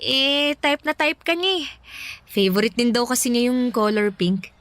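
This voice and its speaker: A woman's voice: a little high, a little slow, a bit bright, no echo. A Filipino actress playing a playful, pushy young woman matchmaking for a friend in a radio drama. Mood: teasing. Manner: giggly, teasing, persuasive.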